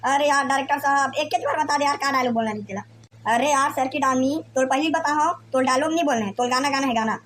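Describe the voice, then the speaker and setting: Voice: high-pitched, quick pace, fairly bright tone, slight background noise. A performer voicing brash, bickering cartoon film folk with a Chhattisgarhi accent and slangy village speech. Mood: exasperated. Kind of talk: comedy cartoon dialogue in colloquial village speech.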